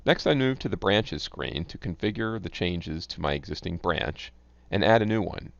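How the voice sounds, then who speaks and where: A man giving scripted, instructional narration as a software tutorial presenter, reading in a measured, enunciated way. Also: low-pitched, animated, vocal fry, no echo. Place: close, dry voice-over recording. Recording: dull, lo-fi close voice-over.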